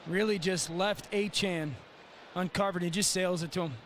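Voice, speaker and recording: A man's voice: high-pitched, steady tone, moderate pace, a bit bright. A man working as a color analyst on a football broadcast, explaining plays. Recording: noisy game broadcast feed.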